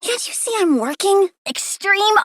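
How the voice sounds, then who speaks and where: Video game villain, a little plant-controlling girl: very high, bright, dry-recorded, perky, bratty, gleefully menacing. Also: expressive, unhurried, no echo, full character voice. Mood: sassy, impatient. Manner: perky, precocious, sassy. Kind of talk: video game character voice lines.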